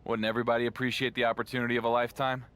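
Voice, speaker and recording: A man's voice: mid-range voice, flat delivery, racing, fairly bright tone, smooth, lightly acted. An actor playing a level-headed, skeptical champion wrestler in a video game cutscene, talking as a dry, incredulous straight man.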